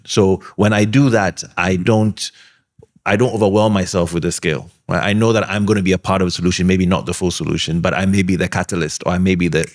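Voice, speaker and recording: A man answering questions on a panel, giving earnest, measured advice with frequent pauses and ums. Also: deep voice. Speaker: alumnus panelist.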